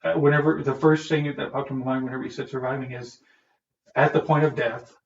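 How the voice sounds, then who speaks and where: A relaxed man co-hosting a podcast, talking easily and laughing often. The sound is roomy and dull, as if he sits away from the microphone, with a faint hiss behind. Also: medium pitch, brisk, creaky, far from the mic. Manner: easygoing, warm, laughs often. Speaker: podcast co-host. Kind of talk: casual chat.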